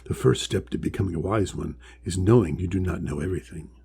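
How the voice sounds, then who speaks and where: A man voicing a huge, ancient, wise male sea creature from a storybook, friendly and measured, with a deep voice. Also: creaky, dark tone. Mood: gentle, thoughtful. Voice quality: deep, resonant. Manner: slow, measured, kindly pronouncements. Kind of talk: storybook character voice.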